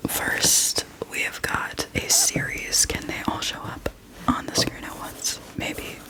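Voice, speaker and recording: A woman hosting a casual whispered ASMR book chat, speaking in a soft, breathy whisper with a hesitant, self-deprecating manner. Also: takes it slowly, low-quality recording. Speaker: book video host.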